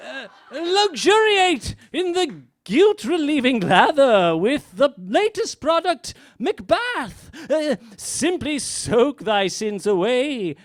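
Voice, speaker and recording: A performer plays a spoof commercial announcer with a high voice. The pitch moves in big lively swings, slowly paced and salesy, as in a parody infomercial. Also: no room sound, clean studio sound, heavily acted. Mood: upbeat, salesy. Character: salesy announcer of a spoof Shakespearean soap advert.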